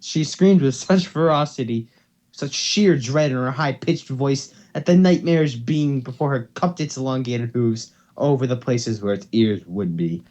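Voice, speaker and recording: A man's voice: medium pitch, unhurried, a little mellow, dull audio. A man hosting an amateur fan fiction reading, who stumbles over words and repeats phrases as he goes. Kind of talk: amateur dramatic story reading.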